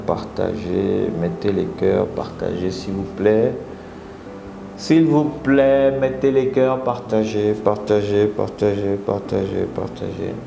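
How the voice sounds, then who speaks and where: A Congolese man with a Congolese French accent hosting a live motivational talk, coaxing his viewers and often jumping into high exclamations. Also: gravelly. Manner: animated, coaxing audience, rising into high exclamations. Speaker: motivational speaker and live host. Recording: echoey room with audible background noise.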